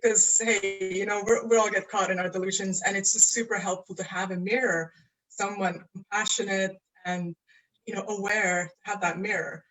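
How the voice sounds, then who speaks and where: A woman from Estonia speaks as an interview guest, earnest and unhurried, often pausing to find her words. She talks over a video call in a relaxed, conversational way. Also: medium pitch, low-quality recording. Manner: earnest, searching for words, laughs easily. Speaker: astrologer and coach guest. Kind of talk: casual interview.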